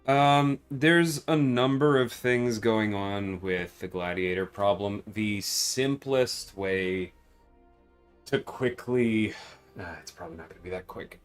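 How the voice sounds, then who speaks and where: A man with a fairly low voice hosts a casual livestream. He explains things conversationally, often tagging points with "right?" to check that listeners follow. Faint music plays behind him. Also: takes it slowly, clean tone. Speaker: livestream host and game developer.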